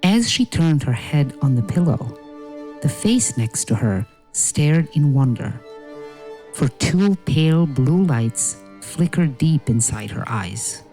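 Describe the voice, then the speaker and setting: Slow, measured woman storyteller. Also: low-pitched, sing-song, dark tone, soft background music, poor audio. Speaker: narrator. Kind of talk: scripted fairy-tale narration.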